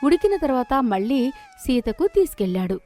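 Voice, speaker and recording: A woman's voice: high-pitched, very animated, moderate pace, dark tone, faint music behind. A woman storyteller narrating a scripted moral story.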